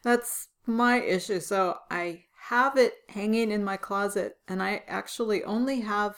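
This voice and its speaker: A woman's voice: mid-range voice, measured pace. A woman co-hosting a casual podcast chat, her voice a little scratchy, talking through details and chuckling now and then. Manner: relaxed, chuckling, describes details. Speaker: podcast co-host.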